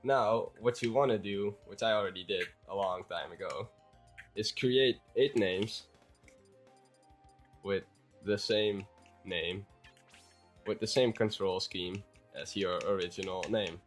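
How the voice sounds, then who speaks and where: A man's voice: fairly low pitch, slow, pauses often, faint music behind. A young man with a Dutch accent, a bit sick, talking through a game tutorial in a rambling, hesitant way. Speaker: YouTuber.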